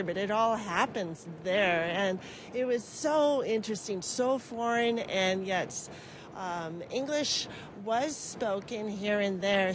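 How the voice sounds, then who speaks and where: A woman's voice: medium pitch, poor audio. Earnest woman in her forties, interview guest, street traffic behind. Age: 40s. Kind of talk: casual interview answers. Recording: clear traffic noise.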